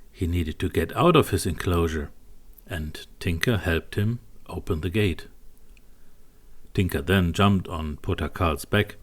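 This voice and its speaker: Deep-voiced, slow male bedtime storyteller. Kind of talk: scripted bedtime story narration for young children.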